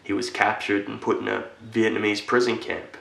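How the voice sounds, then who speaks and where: A solemn military veteran, played by a man, speaks in a low, creaky voice in an echoey room. He delivers a dramatic film monologue as an earnest storyteller addressing a young boy, pausing deliberately between phrases. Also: level tone, a bit bright, recorded from a distance. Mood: hushed, grave.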